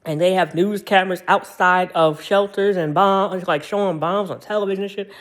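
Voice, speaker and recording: A man's voice: high voice, fairly even intonation, moderate pace, fairly bright tone. An American man in his thirties giving a casual, opinionated monologue in a sassy, slangy and emphatic way, often repeating his phrases. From United States. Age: 30s. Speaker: commentator.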